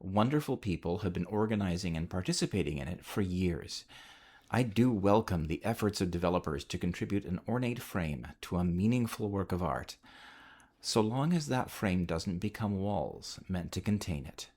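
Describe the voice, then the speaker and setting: A man delivering a measured, articulate scripted report as a podcast contributor. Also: deep voice, expressive, a little mellow.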